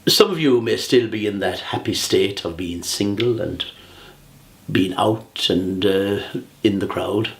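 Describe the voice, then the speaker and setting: A man in his sixties with an Irish accent, giving a humorous prepared speech in a measured, theatrical way with deliberate pauses. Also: fairly low pitch. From Ireland. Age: 60s. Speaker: humorous public speaker.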